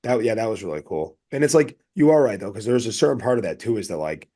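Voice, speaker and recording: A man with a fairly low voice speaks as a podcast co-host. His delivery is casual and earnest, wandering along in a loose, anecdotal, conversational flow. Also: expressive, quick pace, warm tone.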